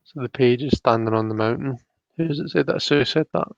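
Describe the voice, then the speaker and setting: A man's voice: medium pitch, brisk, gravelly, no room sound, highs cut off. A Scottish man hosting a casual live tarot reading, chatty and hesitant, often chuckling as he reads out viewer comments.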